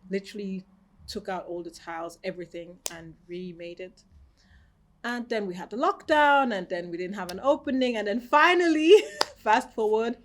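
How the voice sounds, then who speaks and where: A woman in her thirties, raised in Austria, answering interview questions calmly and reflectively in her boutique. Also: mid-range voice, normal speed, a little hiss or hum. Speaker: boutique owner, guest.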